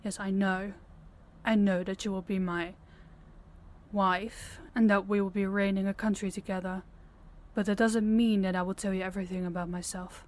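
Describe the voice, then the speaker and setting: A woman's voice: medium pitch, little pitch movement, moderate pace, natural voice. Female actor as rival princess for fantasy roleplay: young, mid-range voice, reserved, caring underneath. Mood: guarded, gentle. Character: guarded young rival princess, reluctant but caring bride-to-be. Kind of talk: intimate fantasy audio roleplay.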